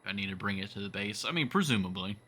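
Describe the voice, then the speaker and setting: A man's voice: lowish voice, animated, quick pace, pushed and bright, slightly rough. A man livestreaming a survival game with casual commentary, thinking aloud and chatting to viewers. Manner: thinks aloud, talks to chat.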